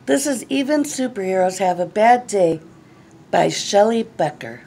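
A middle-aged woman reading a children's picture book aloud in an expressive, deliberate, phrase-by-phrase way. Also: mid-range voice. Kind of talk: read-aloud storytelling. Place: small classroom, indoors. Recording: clearly noisy room recording.

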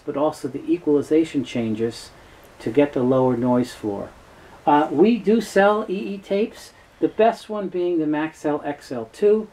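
A man's voice: fairly high pitch, warm tone, rough recording. A middle-aged man giving an informal, matter-of-fact product explanation as a presenter. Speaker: presenter, tape seller. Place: small cluttered indoor room full of shelves and tapes.

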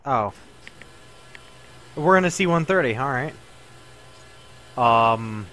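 Jokey gamer guy with a lively voice. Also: medium pitch, slow pace, rough recording. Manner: jokey, rambling, laughs often, swears. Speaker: gameplay commentator. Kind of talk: casual gameplay chat with a friend.

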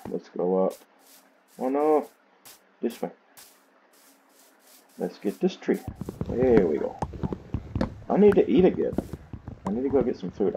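Slow-talking Southern US man, noisy recording. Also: fairly low pitch. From Southern United States. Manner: self-talking, rambling. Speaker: gaming series host. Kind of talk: casual rambling gameplay commentary. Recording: clearly noisy home recording with clicks.

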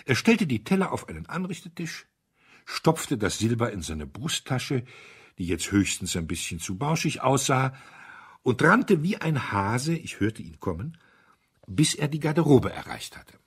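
A man with a husky voice narrating a detective story in an expressive, theatrical way. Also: medium pitch, unhurried. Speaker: audiobook narrator.

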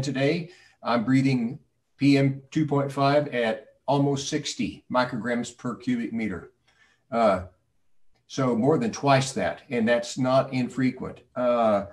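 A male expert gives careful technical answers in a halting manner. His voice is mid-pitched and comes from a little way off the mic on a video call. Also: a little mellow, recorded from a distance. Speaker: expert panelist with a doctorate. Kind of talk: technical explanation answering questions.

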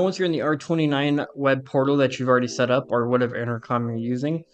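Male tutorial presenter, mid-pitched, conversational and informal, walking through steps with music behind. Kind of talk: casual step-by-step software walkthrough.

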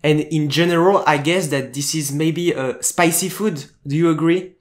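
Young man hosting a video call interview. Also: on the high side. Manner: halting questions with many fillers. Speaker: host. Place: home room. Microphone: earphone mic on a video call.

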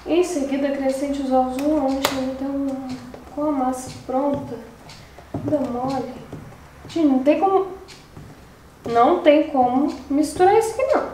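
A young woman talks to her viewers while she cooks, high-pitched and chatty, sounding fed up with the recipe. She is recorded in a home kitchen on the camera's mic, with a noticeable echo and faint clatter from the cooking. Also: normal speed, mellow, a little hiss or hum. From Brazil. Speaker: channel host. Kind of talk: casual cooking vlog chat.

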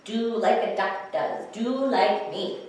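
A woman's voice: medium pitch, slow, darkish tone, heavy reverb, distant mic, natural voice. A woman voicing a bossy, caring mother duck giving orders to her ducklings, in a storybook read-aloud. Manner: commanding, motherly.

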